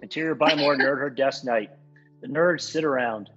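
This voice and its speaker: A man with a husky voice reading stage directions at a script table read. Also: mid-range voice, moderate pace, lo-fi sound, music in the background.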